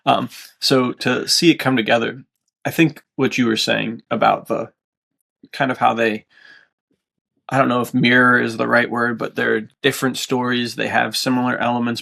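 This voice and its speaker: Male guest, mid-range voice with plenty of fry, enthusiastic, slangy, casual. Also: mid-range voice, level tone, normal speed, bright, forceful tone. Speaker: podcast guest, author. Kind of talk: casual chat.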